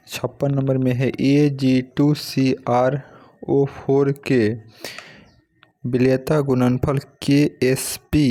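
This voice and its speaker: A man with a medium-pitched voice teaches for a competitive exam. He reads each practice question aloud in a methodical way. Then he states the correct option and moves on. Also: warm tone. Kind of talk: reading practice questions and giving answers.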